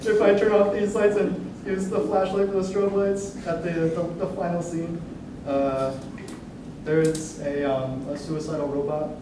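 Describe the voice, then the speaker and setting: A man's voice: fairly high pitch, steady tone, unhurried, noisy background. A young man giving a casual, irreverent, hesitant announcement to introduce a staged reading. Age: young adult. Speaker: writer presenting his musical and performer. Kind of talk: informal pre-show announcement. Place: indoor room at a staged reading. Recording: distant, very echoey room recording, music.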